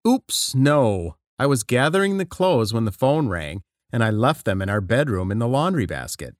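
Man reading scripted dialogue, lively pitch. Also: normal speed, no echo, studio quality. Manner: plain conversational acting. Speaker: listening test voice actor.

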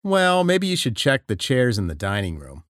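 A man performs scripted everyday conversations for a listening exercise. His mid-pitched voice moves around a lot in melody, and his delivery is plain and conversational. The recording is close and dry. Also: huge pitch swings, normal speed, fairly bright tone, no room sound. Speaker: listening test voice actor. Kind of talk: scripted dialogue reading.

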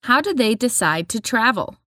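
A woman announcing the numbered questions of a listening test, reading slowly and deliberately. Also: a little high, sing-song, bright, forceful tone, no room sound, clean studio sound. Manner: slow, deliberate reading of instructions. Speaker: test narrator.

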